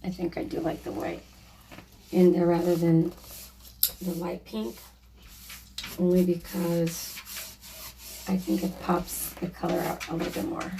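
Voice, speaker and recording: A woman with a slightly hoarse, raspy voice, casually narrating a painting project in a chatty, apologetic way with lots of fillers. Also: lowish voice, measured pace, long pauses, dark tone, recorded from a distance, a little hiss or hum, poor audio. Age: adult, a mother. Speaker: DIY vlogger.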